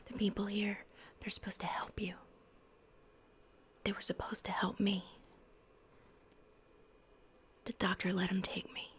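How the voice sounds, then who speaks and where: Paranoid female asylum patient, played by a woman, horror ASMR roleplay: hushed, conspiratorial, obsessive repetition, narrow flat pitch. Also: natural voice. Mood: agitated, haunted. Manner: repeats words obsessively, halting, conspiratorial.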